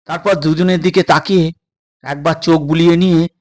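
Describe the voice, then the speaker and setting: A man's voice: a little high, steady tone, a little fast, a little mellow. A man narrating a suspense story as a storyteller, in a dramatic scripted style.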